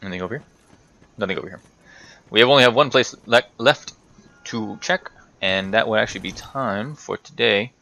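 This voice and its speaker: Bright-voiced man doing gaming commentary. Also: fairly low pitch, fairly quick, vocal fry, long pauses. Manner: rambling, talks to himself and to game characters. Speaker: gaming video host. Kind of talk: casual video game playthrough commentary.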